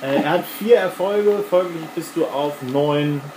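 A man's voice: fairly high pitch, unhurried. Roomy, noisy recording of a male game master. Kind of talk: improvised tabletop game narration and rules explanation. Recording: roomy home recording with clear noise.

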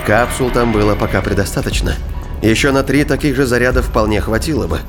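A man's voice: medium pitch, brisk. A man reading scripted narration for a science-fiction thriller audio drama. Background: music.

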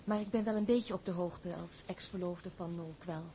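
A woman's voice: fairly low pitch, moderate pace, dark tone, a little hiss or hum, close to an everyday voice. An actress playing a passionate, lovestruck female newspaper reporter in a Dutch drama, emotional and intense. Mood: hesitant, shy. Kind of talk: Dutch broadcast drama acting.

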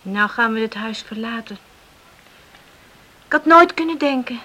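An actress playing a tender, sentimental young farm daughter in a Dutch radio drama. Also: female voice, on the high side, expressive, unhurried, sharp tone, exaggerated voice. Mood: wistful.

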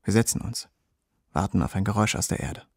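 Reflective soldier telling his war story, husky, dry close sound, radio play. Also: lowish voice, animated, quick pace, darkish tone, dry recording. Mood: quiet, watchful. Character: reflective American soldier recounting the Vietnam War. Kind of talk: scripted first-person radio drama narration.

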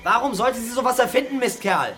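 A man's voice: very high-pitched, expressive, a little fast, pushed and bright, faint music behind, full character voice. An actor playing a bitter young man in a radio crime drama, taunting and threatening his father with contemptuous swearing.

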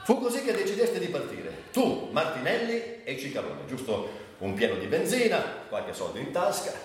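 A man's voice: a little high, very animated, normal speed. Casting: bitter, haunted man, cynical and volatile, theatrical radio monologue; distant, very echoey sound. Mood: nostalgic, wry. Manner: brooding, volatile, swinging between outbursts and murmurs. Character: troubled, bitter man recalling drug years, cynical and haunted. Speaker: stage actor interpreting a monologue. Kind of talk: dramatic literary monologue, theatrical radio acting. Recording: distant, very echoey, faint background noise.

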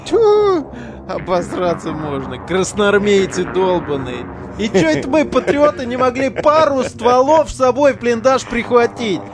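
An actor in a modern audio drama playing a foul-mouthed, mocking relic digger who talks in coarse slang. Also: male voice, very high voice, very animated, measured pace, exaggerated voice. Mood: jeering amusement. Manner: slangy, coarse, jeering banter.

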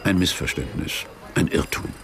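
A man narrating a literary radio drama in a measured, deadpan manner. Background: music behind the voice.

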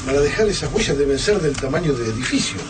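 A man's voice: on the high side, animated, quick pace, lightly acted. A man playing an analytical survivor in a science-fiction radio drama. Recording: distant mic, clear background noise.

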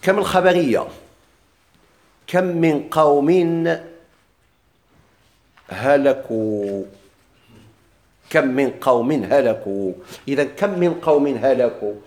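A man teaching Arabic grammar in a Moroccan accent. He quizzes his students, repeats their answers back to them, and drags out the quoted examples slowly. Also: mid-range voice, lively intonation, a little mellow. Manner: drawls quoted examples slowly, quick plain explanations. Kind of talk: classroom lesson, questioning students.

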